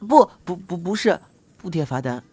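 A man's voice: high-pitched, expressive, moderate pace, warm tone, breathy edge. A young man playing an earnest, easily flustered male traffic officer in an audio drama, prone to stammering. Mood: nervous, pleading.

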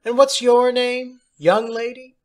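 A man's voice: very high-pitched, little pitch movement, slow pace, voice pushed up. A man voicing an eccentric, jolly woman substitute teacher in an audiobook story, precise, theatrical and condescending. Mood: airy, breezy.

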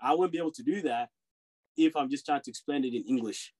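A man talks fast on a home video call, co-hosting a relaxed podcast chat. He presses his argument and keeps checking that the others follow. His voice sits at an ordinary middle pitch. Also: husky. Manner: fast, insistent, arguing his point with repeated "you know what I'm saying". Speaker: podcast co-host. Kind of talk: casual group discussion.

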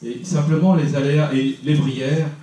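Man, 60s, local mayor at a campaign meeting; measured, many fillers, mellow voice, heavy room echo from afar. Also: fairly high pitch, fairly even intonation, distant mic. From Normandy, France. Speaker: mayor, substitute candidate. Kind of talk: introducing himself at a campaign meeting. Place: small meeting room, indoors. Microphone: handheld mic, filmed by a far camera. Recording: very echoey room heard from afar.

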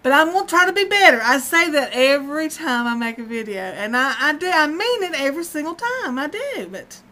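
A woman's voice: high-pitched, expressive, a little fast, bright, forceful tone. A woman, chatting casually in a rambling vlog at home, often breaking into laughter. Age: 50s. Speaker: vlogger. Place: dim room at home, indoors. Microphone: webcam at close range.

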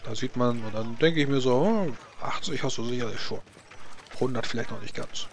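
A man's voice: medium pitch, brisk, vocal fry, slight background noise, close to an everyday voice. A man roleplaying a blunt, curious and proud dwarf in a tabletop game, asking naive questions and often repeating himself.

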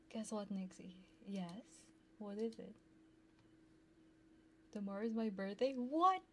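Slow, soft-spoken woman chatting on ASMR stream. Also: mid-range voice. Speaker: ASMR livestreamer. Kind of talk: casual ASMR livestream chat, reading and answering viewers. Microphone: close binaural ear microphone. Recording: clear background noise.

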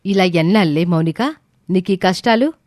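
A woman voicing a sharp-tongued, exasperated mother-in-law who scolds sarcastically in an audio story. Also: fairly low pitch, normal speed, no echo, clean studio sound, lightly acted. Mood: scornful.

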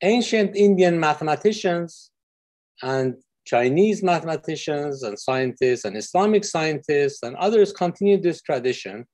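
A man's voice: a little high, lively intonation, normal speed, a little mellow. A middle-aged man from Iran giving a friendly, explanatory online physics lecture over a video call from his office, with frequent fillers. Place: office with windows.

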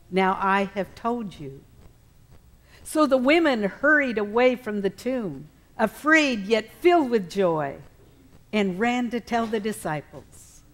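A middle-aged woman reading scripture formally and expressively at a church pulpit, in clear phrases. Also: fairly high pitch. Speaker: scripture reader at church service.

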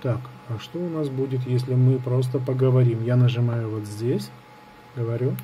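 With faint noise behind him, a man talks through a casual gadget review at a table at home. His pitch is average for a man. He improvises as he goes, hesitating and thinking aloud. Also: fairly even intonation, normal speed, mellow, muffled recording. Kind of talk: casual hands-on product review. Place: indoors at a table in an apartment. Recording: clear background noise on home recording.

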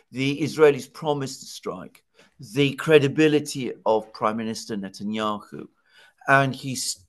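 A man in his sixties speaks as a political commentator in a conversational way. He explains things emphatically and sets up his points with rhetorical questions, at a middle pitch. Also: a bit bright. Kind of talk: conversational news analysis. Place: home study with bookshelves. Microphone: headset on video call.

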